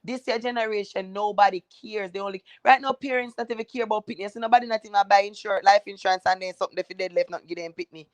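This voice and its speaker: A Jamaican woman speaks as a panel guest on a remote call, with dry audio and a mid-pitched voice. She talks in an earnest, reflective way. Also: fairly even intonation, quick pace, hardly any pauses, no room sound.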